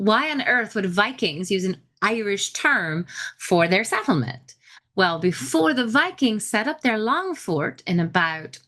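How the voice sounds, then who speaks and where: A woman's voice: mid-range voice, a bit bright. A woman podcast host giving an informal history lecture in a chatty, explanatory way with wry asides.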